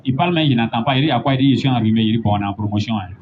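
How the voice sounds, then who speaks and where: A man preaching a sermon, building to emphatic, drawn-out points. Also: mid-range voice. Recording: dull lo-fi recording with faint noise.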